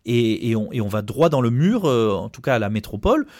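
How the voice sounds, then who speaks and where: A man's voice: mid-range voice, very animated, a little fast, a little mellow, no echo, studio quality. A man speaking as a union representative in an interview, arguing emphatically and often repeating words as he goes. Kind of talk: spoken interview, argumentative.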